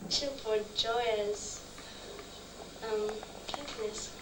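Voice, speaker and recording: A woman's voice: a little high, fairly even intonation, slow pace. A woman from Ethiopia giving a brief, giggly answer. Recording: distant, roomy, noisy recording.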